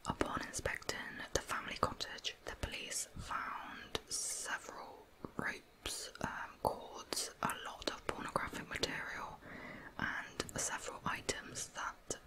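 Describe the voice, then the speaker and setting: A host telling true crime stories for ASMR in a breathy, unvoiced whisper. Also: measured pace, low-quality recording.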